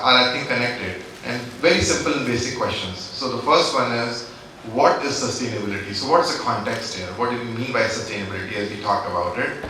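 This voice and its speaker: A man from India moderates a panel from a distance, his bright, forceful voice ringing through a large echoing hall. He talks politely and hesitantly, with plenty of fillers, over a faint hiss. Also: medium pitch. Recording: echoey hall from far off.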